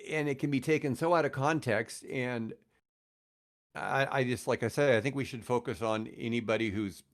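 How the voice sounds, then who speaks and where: A man's voice: mid-range voice, moderate pace. A man chatting casually and giving his opinions on a podcast, emphatic and often repeating his phrases as he goes. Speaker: podcast guest, comedian.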